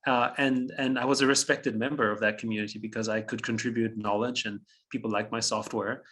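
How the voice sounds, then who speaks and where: A man's voice: medium pitch, fairly even intonation, fairly quick, a bit bright. A German-speaking man in his fifties from Austria, a guest on an interview, telling his story reflectively, chuckling often and restarting phrases.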